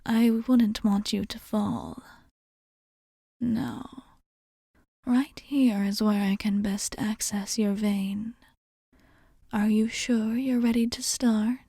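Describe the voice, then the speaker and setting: A woman playing a calm, attentive vampire bartender in an ASMR roleplay, with soft, whispered, formal and reassuring speech. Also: mid-range voice, gravelly, slightly rough, no echo, clean studio sound, heavily acted. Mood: soft, coaxing, then hushed, soothing. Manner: slow, soothing, formal and reassuring.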